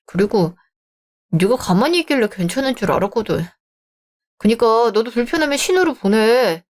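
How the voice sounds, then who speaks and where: A performer voicing a young fiancé in a radio drama, apologetic and conciliatory. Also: male voice, sits high, big pitch swings, moderate pace. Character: young fiancé caught between mother and bride.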